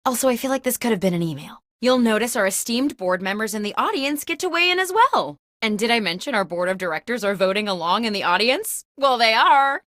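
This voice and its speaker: Quirky female office boss, game host, high-ish pitch, swooping intonation, peppy, mock-corporate, comedic. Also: brisk, few pauses, no echo, clean studio sound. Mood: chipper. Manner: animated, mock-corporate comic delivery. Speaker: video game host character. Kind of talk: scripted comedic game show hosting.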